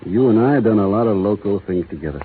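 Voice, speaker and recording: An actor playing a steady, earnest cowboy with a slow western drawl in a 1930s radio drama, tinny old broadcast sound. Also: male voice, on the low side, a little hiss or hum, close to an everyday voice.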